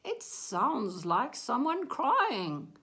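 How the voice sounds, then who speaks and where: A woman voicing a helpful, concerned mother cow with drawn-out moos in a children's story. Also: on the high side, wildly swooping pitch, a bit bright, voice pushed up, exaggerated voice.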